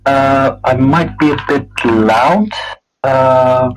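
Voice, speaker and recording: A man setting up and explaining a board game, halting with many fillers. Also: medium pitch, soft background music, poor audio. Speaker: game referee.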